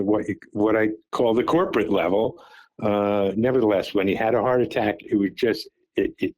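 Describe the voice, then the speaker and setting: Older man on a call, low voice, halting recollections. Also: a little mellow, poor audio. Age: 70s. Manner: halting, repeats words and restarts while recalling. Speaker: father recounting family business. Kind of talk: phone call conversation. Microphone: phone call.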